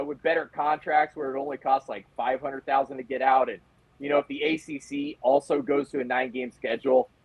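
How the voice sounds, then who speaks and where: An American man giving casual sports analysis as a reporter guest, in a halting, conversational way. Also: medium pitch, vocal fry, slightly rough, no room sound, poor audio.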